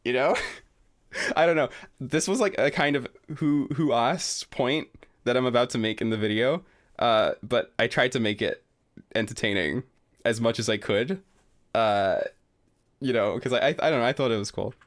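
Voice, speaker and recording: A young adult man streaming a relaxed, rambling reaction commentary, full of fillers and self-deprecating asides. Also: mid-range voice, moderate pace.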